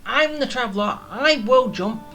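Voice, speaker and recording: A woman's voice: fairly high pitch, expressive, moderate pace, a bit bright. A woman playing a video game and commenting casually, thinking aloud in a chatty way. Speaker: video game let's player. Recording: faint game music behind. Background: faint game music.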